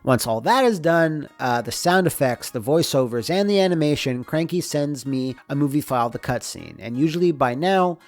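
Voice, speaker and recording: A man's voice: fairly high pitch, expressive, moderate pace, few pauses. Casual man narrating over music. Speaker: game developer and narrator. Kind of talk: informal scripted narration of a behind-the-scenes explainer. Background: music under the voice.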